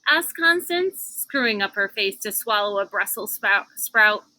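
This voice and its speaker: A woman's voice: mid-range voice, animated, measured pace, pushed and bright, rough recording. A woman reading a children's book aloud outdoors on a porch, adding casual asides as she goes. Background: cicadas and air conditioner hum.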